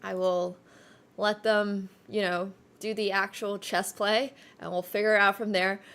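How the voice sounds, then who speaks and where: A woman's voice: medium pitch, level tone, unhurried, a bit bright, clean tone. A young woman whose first language is Chinese, chatting casually on a livestream, rambling with lots of fillers and self-corrections. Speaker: streamer.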